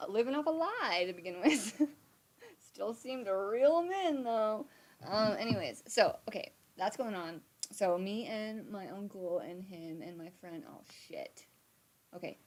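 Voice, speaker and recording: Woman in her thirties, rambling storyteller with a slightly low voice, lots of fillers and restarts. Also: darkish tone. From Montana, USA. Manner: swears casually. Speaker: live storytime streamer. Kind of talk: casual storytelling livestream. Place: home, indoors. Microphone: phone or webcam on a live stream.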